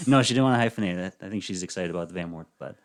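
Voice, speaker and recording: A man in his thirties, a podcast guest chatting casually and softly, with frequent ums and uhs. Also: deep voice, racing. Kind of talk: casual interview chat.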